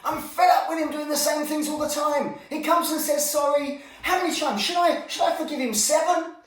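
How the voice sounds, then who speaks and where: Man acting Peter, an exasperated disciple, high-pitched. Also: fairly even intonation, moderate pace, fairly bright tone. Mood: exasperated, eager. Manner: eager, fed up, firing off insistent questions. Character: Peter, eager, impulsive, exasperated male disciple. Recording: echoey room, distant microphone.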